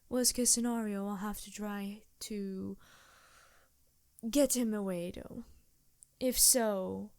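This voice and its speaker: Woman as scheming succubus ally for intimate ASMR roleplay: soft-spoken, seductive, unhurried, very close and dry sound. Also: fairly high pitch, no room sound. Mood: wary, negotiating. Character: scheming female succubus demon, seductive, reluctant but loyal ally.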